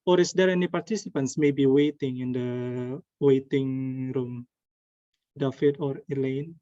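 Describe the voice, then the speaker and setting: A young man from Indonesia giving an earnest online presentation over a video call, with pauses and restarts. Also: mid-range voice, fairly even intonation, dark tone, dry recording. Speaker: webinar presenter. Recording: dull lo-fi call audio.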